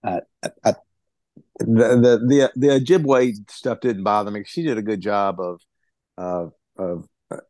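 A man's voice: fairly low pitch, fairly even intonation, measured pace, darkish tone, no echo. A man giving his view in a casual book discussion, often stammering and repeating words. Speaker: book group member.

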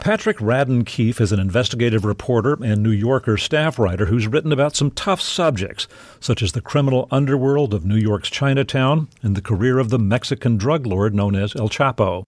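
A man reads a scripted introduction as a radio presenter. His pitch is average, the sound is dry, and faint music plays behind him. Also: brisk, a little mellow, no room sound.

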